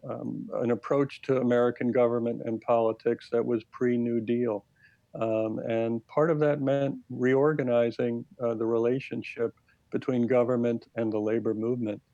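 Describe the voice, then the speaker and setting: A man speaking as a professor and interview guest, explaining history in a measured, academic way. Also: mid-range voice, dark tone, no echo, muffled recording.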